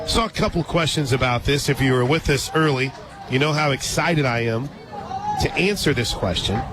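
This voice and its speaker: A man doing live radio softball play-by-play, dropping conversational asides between pitches. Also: moderate pace. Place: radio booth at an outdoor ballpark. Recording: outdoor stadium crowd noise, loud music. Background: loud crowd and ballpark music.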